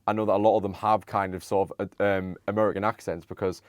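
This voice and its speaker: A young man hosting a podcast, asking long, halting questions full of "um"s and restarts. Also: on the low side, little pitch movement, dry recording. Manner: halting, many "um"s and stammered restarts. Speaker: podcast host. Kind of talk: casual interview conversation.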